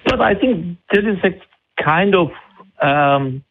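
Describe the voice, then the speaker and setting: A man from Turkey with a Turkish accent, calling in to a live debate and making his point haltingly but persistently. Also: sits high, expressive. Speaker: caller. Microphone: phone call. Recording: narrow muffled phone line.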